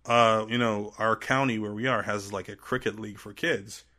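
A man with a Trinidadian accent, a podcast guest chatting casually in a jokey, animated way. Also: lowish voice, normal speed. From Trinidad and Tobago. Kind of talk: casual interview chat.

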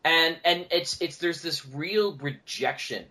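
Male podcast guest, slightly high voice, animated and conversational. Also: slow pace. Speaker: podcast guest, audio drama producer.

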